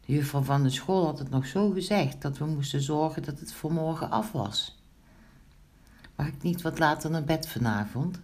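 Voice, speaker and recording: A woman voicing an earnest, excitable young schoolgirl in a children's story, speaking in exclamations. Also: deep voice, normal speed, natural voice. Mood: dismayed.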